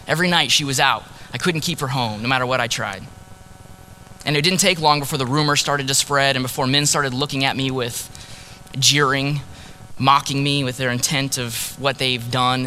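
A man preaching in the first person as a grieving ancient prophet, earnest and sorrowful, dropping to a hush on key words. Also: mid-range voice, slight background noise, close to an everyday voice, low-quality recording. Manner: earnest, sorrowful storytelling, drops to hushed tones on key words. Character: grieving ancient male prophet, heartbroken husband. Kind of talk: dramatic first-person sermon monologue.

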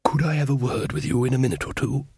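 A man's voice: on the high side, animated, measured pace, darkish tone, husky, no echo, studio quality. A man voicing a nervous, earnest king who speaks hesitantly and properly, in a storybook reading. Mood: awkward. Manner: hesitant, slightly stammering.

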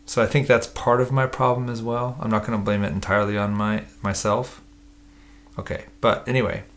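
Low gravelly guy co-hosting a podcast, relaxed and chatty. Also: a little fast. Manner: relaxed, chatty, self-deprecating. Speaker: podcast co-host. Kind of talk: casual chat.

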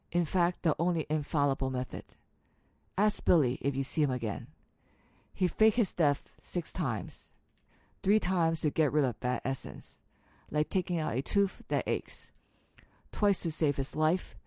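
A woman's voice: low-pitched, animated, measured pace, warm tone, no room sound. A woman playing a stern, blunt outlaw gunslinger in a western radio drama, terse and matter-of-fact.

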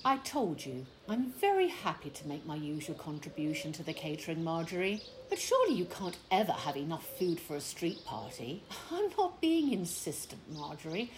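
A woman's voice: deep voice, huge pitch swings, normal speed, hardly any pauses, darkish tone, a little hiss or hum. A woman playing an indignant, fussy village wife in an audio play, huffy and emphatic. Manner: huffy, put-upon, emphatic.

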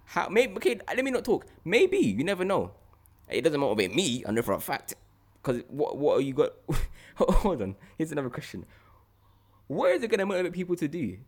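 A British man with a London accent hosting a casual podcast, talking in an animated, slangy way and repeating himself for emphasis. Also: fairly high pitch, a little fast. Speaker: podcast host.

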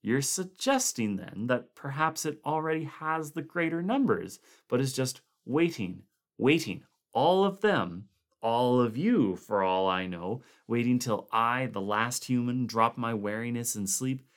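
A man voicing a frightened expedition member who suspects everyone around him, speaking in anxious, halting bursts. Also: medium pitch, lively intonation, fairly bright tone. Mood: uneasy. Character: nervous man fearing he's the last human.

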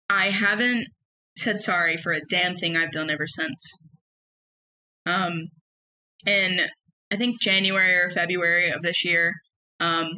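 A young woman with a loud, emphatic voice, telling personal stories in a casual solo podcast. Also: lowish voice, slight background noise, poor audio. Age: young adult. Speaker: podcast host.

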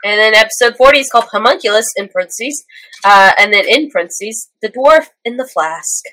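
Playful bright-voiced young woman co-host. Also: on the high side, lively intonation, fairly quick, low-quality recording. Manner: playful, teasing, leads the episode rundown. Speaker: podcast co-host. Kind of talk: casual chat.

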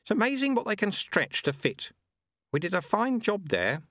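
A man's voice: sits high, sing-song, normal speed, a bit bright, no echo, studio quality, voice pushed up, heavily acted. A man voicing an old male sweeper monk, blunt and folksy, who mutters and drops his grammar. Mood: confiding.

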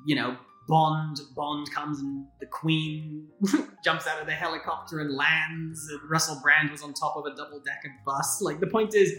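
A man with an English accent co-hosting a casual podcast, rambling in quick bursts full of fillers. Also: on the high side, steady tone, bright, forceful tone, reverberant, soft background music, low-quality recording. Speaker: podcast co-host. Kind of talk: casual conversation.

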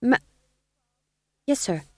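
A woman's voice: very slow, a bit bright, no echo, studio quality. A woman voicing a nervous young male court jester who stammers in old-fashioned speech. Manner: jittery, stammering, archaic speech.

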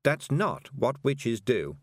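A man doing a calm, measured witch woman. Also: mid-range voice, no echo, clean studio sound, voice pushed up. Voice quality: slow, measured, patient.

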